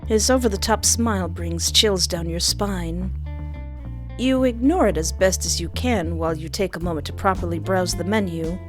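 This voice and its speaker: A woman game streamer with a playful, expressive way of talking, reading narration aloud and commenting as she plays. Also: on the low side, moderate pace, soft background music.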